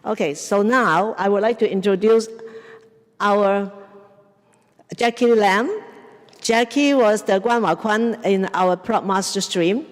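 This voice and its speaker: A woman's voice: mid-range voice, takes it slowly, pauses often. A woman in her sixties with a Cantonese accent, chatting informally as she introduces speakers at a community event. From Hong Kong or southern China, in Canada since 1975. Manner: chatty, informal, mixes up pronouns. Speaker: event host, opera organizer. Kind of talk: casual introductions at a public event. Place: museum event hall, indoors. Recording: reverberant hall.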